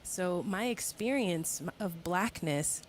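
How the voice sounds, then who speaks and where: A woman from the United States, a guest singer, speaking in a reflective, halting, conversational way in an interview. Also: lowish voice, animated, a little hiss or hum.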